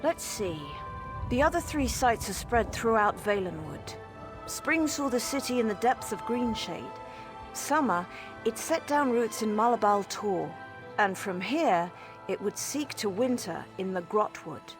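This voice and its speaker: An actress playing an exasperated, wry mage scholar in a video game, explaining things articulately. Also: female voice, on the high side, expressive, unhurried, over music. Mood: informative, wistful. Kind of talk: conversational video game dialogue.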